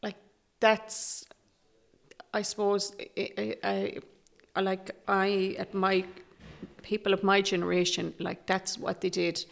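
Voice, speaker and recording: A middle-aged Irish woman from Galway, speaking softly and hesitantly as a guest in an interview, with many restarts. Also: mid-range voice, little pitch movement, takes it slowly, vocal fry. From Galway, Ireland. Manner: soft-spoken and hesitant, with many fillers and restarts. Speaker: guest, Traveller activist. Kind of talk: candid interview conversation.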